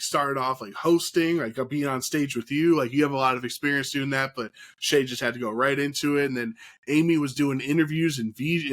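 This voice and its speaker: A man's voice: mid-range voice, a bit bright. A man co-hosting a podcast, chatting in an easygoing way and laughing often. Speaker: podcast co-host. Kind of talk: casual chat.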